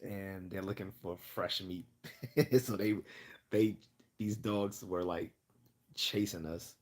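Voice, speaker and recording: A man hosting a casual livestream Q&A, chatting and rambling as he loses his voice and keeps clearing his throat. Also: sits low, steady tone, takes it slowly. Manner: rambling, chatty, laughs often. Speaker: livestream host.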